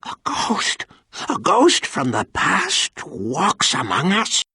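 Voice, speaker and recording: An actor playing a startled, superstitious man in a fantasy game, crying out hoarsely in drawn-out, dramatic exclamations. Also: high voice, huge pitch swings, pushed and bright, no echo, exaggerated voice. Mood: aghast, alarmed. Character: startled, superstitious man aghast at seeing a ghost. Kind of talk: theatrical fantasy game acting.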